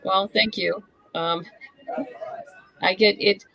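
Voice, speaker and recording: A woman's voice: mid-range voice, steady tone, slow pace, creaky, crowd noise, rough recording. A woman answering as an interview guest in casual chat, easygoing, modest and thoughtful.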